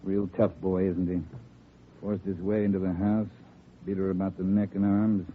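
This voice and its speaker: An actor playing a gruff police captain with a clipped, dry manner in 1950s radio drama style. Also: male voice, deep voice, level tone, normal speed, close to an everyday voice. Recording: tinny, faintly noisy old radio recording.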